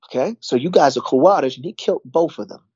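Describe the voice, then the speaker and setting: A man's voice: fairly high pitch, quick pace, darkish tone, slightly rough, no room sound, muffled recording. A middle-aged man, talking casually in a rambling way, repeating words and saying "you know" a lot. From New York. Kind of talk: casual conversation.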